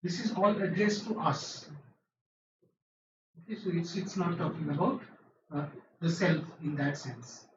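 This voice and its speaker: A man's voice: a little high, measured pace, pauses often. Male academic speaker, pointed replies, lo-fi noisy room recording. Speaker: speaker presenting a paper. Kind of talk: academic seminar discussion. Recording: roomy, distant, lo-fi hall recording with noise.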